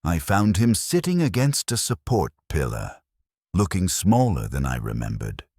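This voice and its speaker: A man narrating a dramatic first-person story in a grave, measured manner, with short deliberate phrases. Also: sits low, big pitch swings, a little mellow, breathy edge, no echo, clean studio sound.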